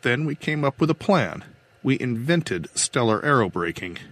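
A man's voice: mid-range voice, very animated, moderate pace, a bit bright. A man voicing a weary, defiant, idealistic older woman who captains a starship in an audiobook. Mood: fervent, defiant. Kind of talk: audiobook character dialogue.

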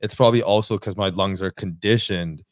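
A laid-back man chats on a podcast in a low voice, loose and slangy. He drifts from thought to thought and lets his sentences trail off. The mic is close and dry. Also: fairly even intonation, brisk, no echo. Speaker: podcast co-host. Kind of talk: casual chat.

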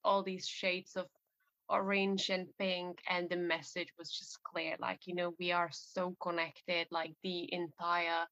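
A woman hosts a reflective interview, speaking earnestly and softly with lots of "you know" fillers. Her voice sits fairly low. The sound is dry and muffled, like lo-fi audio. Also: little pitch movement, measured pace, dry recording. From Czech Republic. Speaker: podcast host. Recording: dull lo-fi sound.

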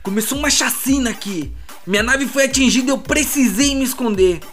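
A man with a Brazilian accent playing a flustered, foul-mouthed merchant stranded in wreckage, talking haltingly and agitatedly in an audio drama. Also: very high voice. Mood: desperate, afraid. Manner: agitated, halting, swearing, muttering to himself. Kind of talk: audio drama distress message monologue. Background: music.

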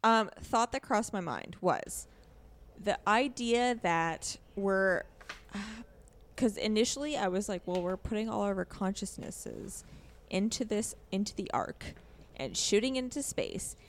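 A woman with a noticeably creaky, gravelly edge and a middling pitch talks casually as a podcast co-host. She sounds informal and a little hesitant, working through her thoughts out loud. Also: unhurried, slight background noise. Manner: informal, hesitant, thinking aloud. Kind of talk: casual discussion of a video game.

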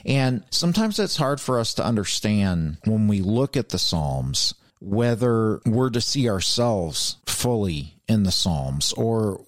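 A man's voice: lowish voice, dry recording, clean studio sound. A man hosting a faith podcast, speaking in an earnest, heartfelt way. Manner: heartfelt, calls his guest "brother". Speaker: podcast host.

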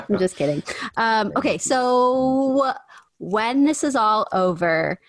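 A woman's voice: on the high side, lively intonation, extremely slow. A young woman hosting a podcast, asking questions in a playful, teasing way and laughing easily. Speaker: podcast host. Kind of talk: casual interview chat.

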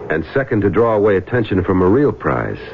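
A deep, hard-boiled adventure hero in an old radio play. He speaks in measured, terse phrases as a keen salvage diver. The recording is muffled, with clear music behind him. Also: sing-song. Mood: respectful, probing. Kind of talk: old-time radio drama narration and dialogue.

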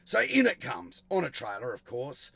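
A middle-aged Australian man hosting a car tuning podcast in a blunt, emphatic, casual way, his voice gravelly from a sore throat. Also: medium pitch, huge pitch swings, moderate pace, fairly bright tone, no room sound. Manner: blunt, emphatic, salty-tongued. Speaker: host of an automotive tuning show. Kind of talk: casual solo podcast talk.

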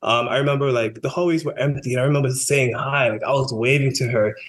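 A man from Brooklyn, a podcast guest speaking in a relaxed, hesitant way with many ums. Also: mid-range voice, fast talker. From Brooklyn, New York. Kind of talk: casual interview talk.

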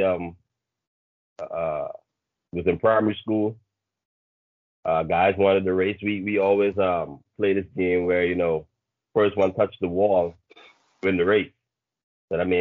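A man's voice: low voice, no room sound, narrow, tinny audio. A man in his thirties with a Bahamian accent, chatting casually and telling stories as a coach guest over a thin call line. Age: 30s. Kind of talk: casual storytelling chat.

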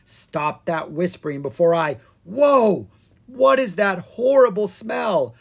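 A reader voicing a crusty, grumpy old male wizard in a storybook who snarls and shouts spells. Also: mid-range voice, animated, slow pace, gravelly, breathy edge, pitched above the performer's own voice, full character voice. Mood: snarling.

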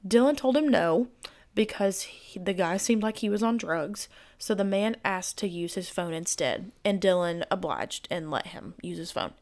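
Hoarse woman telling a true crime story. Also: mid-range voice, expressive, moderate pace, a little mellow. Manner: chatty, speculative asides. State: hoarse, voice giving out. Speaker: true crime podcast host. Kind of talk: casual storytelling narration.

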